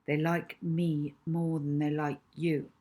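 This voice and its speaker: Woman playing a fed-up, blunt schoolboy, husky. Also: deep voice, level tone, slow pace, dark tone. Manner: exasperated, blunt, matter-of-fact. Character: fed-up schoolboy, normally easygoing, bluntly honest. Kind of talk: acted children's audiobook dialogue.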